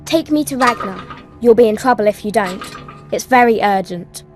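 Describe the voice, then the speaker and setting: A woman voicing a brave, quick-witted, polite young girl in a video game cutscene, speaking earnestly and courteously. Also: mid-range voice, lively intonation, music in the background, lightly acted. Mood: urgent, insistent.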